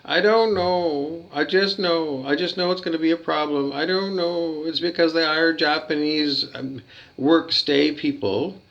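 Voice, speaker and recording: A man's voice: fairly high pitch, fairly even intonation, measured pace, creaky, a bit bright. A man ranting in a rambling, hesitant way, repeating himself and swearing when riled. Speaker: hotel guest reviewing his loot bag. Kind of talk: rambling complaint rant. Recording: dull lo-fi recording.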